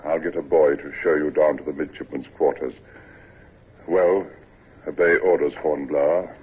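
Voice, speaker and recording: An actor playing a gloomy, stern but not unkind old ship's captain, in an old radio drama. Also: male voice, rumbling low, steady tone, breathy edge. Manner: slow, dry, morose. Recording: tinny old radio broadcast.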